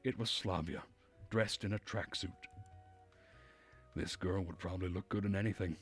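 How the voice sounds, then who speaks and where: A man with a husky voice reading a visual novel aloud as narration while streaming the game. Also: deep voice, fairly even intonation, normal speed, soft background music.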